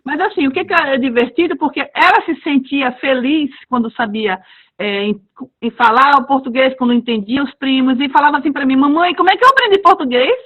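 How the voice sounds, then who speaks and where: A woman who reads stories to children, talking casually on a webinar and acting out quoted dialogue with lively expression. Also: high voice, fairly quick. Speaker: heritage language storyteller. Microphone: video call. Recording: dull lo-fi video call audio.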